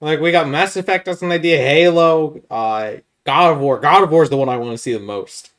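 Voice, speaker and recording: Casting: male podcast co-host, slightly high-pitched voice, loose slangy delivery, chatting casually. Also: a little fast, fairly bright tone. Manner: informal, slangy. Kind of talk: casual chat.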